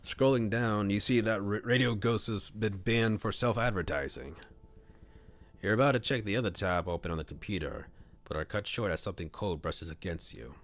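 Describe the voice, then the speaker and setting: Very deep-voiced man narrating a visual novel. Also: normal speed. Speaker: streamer reading a visual novel aloud. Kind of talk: livestream narration of a visual novel with casual asides.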